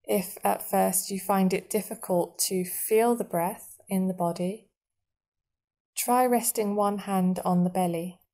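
A woman guiding a meditation in a soothing, measured manner, leaving long pauses between short phrases. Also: mid-range voice, steady tone, warm tone. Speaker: meditation guide. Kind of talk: guided body scan meditation narration.

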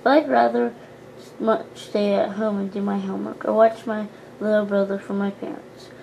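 Woman doing a preachy teen girl doll, gravelly edge, faint hiss. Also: mid-range voice, steady tone, moderate pace, warm tone, close to an everyday voice, poor audio. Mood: earnest. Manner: earnest, boastful. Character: prim pious teen girl doll, goody-two-shoes.

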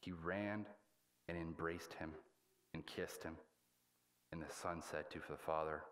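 Very deep-voiced man preaching. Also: fairly bright tone. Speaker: pastor preaching. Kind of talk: sermon with scripture reading. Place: indoors at a church pulpit.

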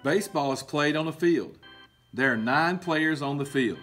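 A middle-aged man reading a picture book aloud to children as a coach, explaining in a deliberate, emphatic, instructive way. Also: fairly high pitch, a bit bright, faint music behind. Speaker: baseball coach and PE teacher. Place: indoor school room with book shelves.

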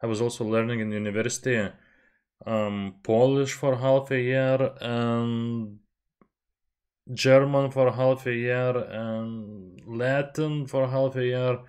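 A man's voice: mid-range voice, fairly even intonation, takes it slowly, a little mellow, smooth. Young man chatting on a headset. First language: Lithuanian. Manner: chatty, self-deprecating, laughs often. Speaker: reaction channel host. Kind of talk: casual music reaction commentary. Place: small bedroom at home. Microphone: headset with desk mic, webcam framing.

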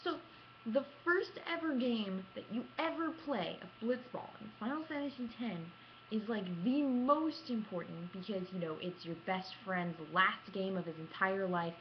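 A woman's voice: medium pitch, moderate pace. A young adult woman tells stories casually to camera in a theatrical, self-mocking manner, recorded on a webcam in a room at home. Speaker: gaming vlogger. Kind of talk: casual animated storytelling to camera. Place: small room at home, indoors. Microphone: computer webcam at arm's length. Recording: dull lo-fi webcam sound with clear noise.

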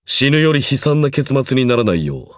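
A man's voice: mid-range voice, sing-song, a little fast, dry recording, close to an everyday voice. Game role: stern male magecraft lecturer played by a man, formal, condescending, grudgingly encouraging, very varied intonation, dry close studio sound. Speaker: voice actor in a mobile game. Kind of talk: scripted game character lines.

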